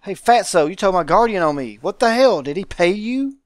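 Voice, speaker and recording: A man's voice: high-pitched, animated, normal speed, voice pushed up. A man voicing a wary young male visual novel hero who speaks directly and earnestly. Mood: angry, accusing. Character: wary young male ward hiding from his guardian. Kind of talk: visual novel character read-aloud.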